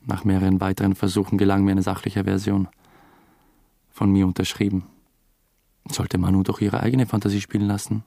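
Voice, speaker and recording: A young man telling his story in a radio drama. The voice is low and husky with some fry. He speaks drily, with an understated, defensive edge. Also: monotone, brisk, pauses often, mellow. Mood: dry, reflective. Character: young male theatre employee narrating his story, defensive, dry. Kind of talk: radio drama narration and dialogue.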